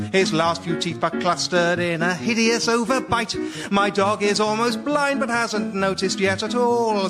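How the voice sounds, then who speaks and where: Comic song role: rueful man in a high register, backed by music, wry delivery. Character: rueful male dog owner singing a comic song.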